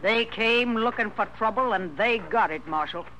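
An American actress playing a brassy, defiant, determined circus boss in a 1950s radio western, curt and challenging. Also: female voice, a little high, moderate pace, fairly bright tone, muffled recording, lightly acted. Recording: old radio recording, slight noise.

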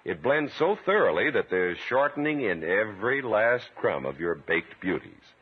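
A man with a husky voice announcing in a 1950s radio style, with an emphatic salesman's delivery. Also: lowish voice, big pitch swings, moderate pace. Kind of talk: 1950s radio commercial and scripted narration. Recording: tinny old radio recording.

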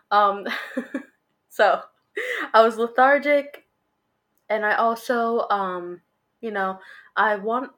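A young woman with a stuffy, congested voice chats alone to her listeners as a podcast host. She rambles loosely, apologizes a lot and fills gaps with ums. Her pitch sits in a middle range for a woman. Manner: rambling and self-deprecating, with many fillers. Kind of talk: casual solo chat. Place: home room, recorded on video.

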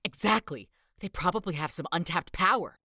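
A woman's voice: lowish voice, lively intonation, normal speed, vocal fry, pushed and bright, no room sound, exaggerated voice. Woman as young boy, earnest, bookish, eager, close dry recording, audio drama. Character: earnest, nerdy twelve-year-old boy.

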